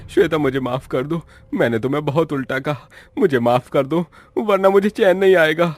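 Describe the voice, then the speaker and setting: A man's voice: a little high, moderate pace, no echo, pitched above the performer's own voice, close to an everyday voice. A man voicing a frightened young husband in a cartoon, panicky and pleading. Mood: terrified, pleading. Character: terrified young husband begging his witch wife's forgiveness.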